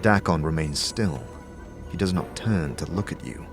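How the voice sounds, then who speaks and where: A man's voice: very deep voice, animated, moderate pace. A man narrating a scripted fantasy audio drama in the second person, as a game master would. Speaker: narrator and game master, also voicing the lead character. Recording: music under the voice.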